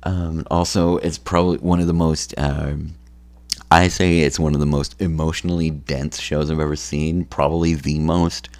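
A tired, phlegmy man hosting a solo podcast, talking slowly and rambling with many ums and restarts. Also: little pitch movement, darkish tone, no room sound. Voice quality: gravelly, low voice. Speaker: podcast host.

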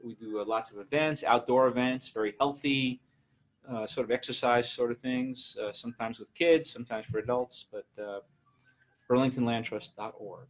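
A man in his early sixties speaks as a guest in a casual interview. His voice sits at an average pitch, and he talks haltingly, doubling back on words and letting thoughts trail off. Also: fairly even intonation, rough recording. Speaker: guest, land trust representative and gym client. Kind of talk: casual unscripted interview chat.

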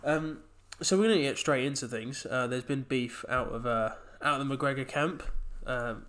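A man's voice: mid-range voice, moderate pace, fairly bright tone. A young man hosting a casual podcast chat while he has a cold, talking in a chatty way. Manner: chatty, reads out quotes in a punchy voice. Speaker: podcast host.

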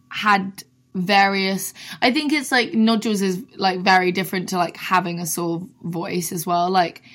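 A young woman from London with a husky voice talks easily as an interview guest. Her speech is casual and full of "like", with a mid-range pitch. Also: a bit bright. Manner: chatty, peppered with "like". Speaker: singer-songwriter guest.

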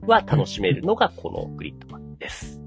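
Lively, quick-talking male anime podcast host. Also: fairly high pitch, vocal fry, soft background music. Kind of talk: casual explanatory podcast chat.

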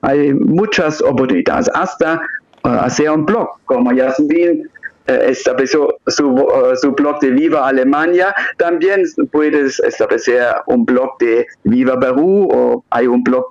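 A man from Germany with an Upper Franconian German accent and a rolled R, speaking Spanish learned as an adult, being interviewed on the radio as a coach, halting often with "eh". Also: medium pitch, a little mellow, highs cut off. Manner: halting, with many hesitations. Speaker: guest coach.

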